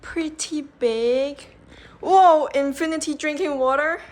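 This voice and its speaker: A young woman vlogging casually as she tours a budget hotel, chatty and excitable, full of "like"s and giggles, filmed on a handheld phone. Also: high voice, slow. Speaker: travel vlogger. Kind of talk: casual travel vlog. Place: budget hotel room and entrance. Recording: echoey room with loud background noise.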